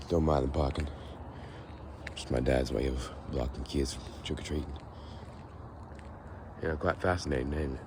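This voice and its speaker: A young man with a raspy, hoarse voice, rambling to the camera as he walks outdoors in a casual vlog. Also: very deep voice. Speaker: vlogger. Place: outdoors, walking on a foggy street. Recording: noisy outdoor recording with passing traffic.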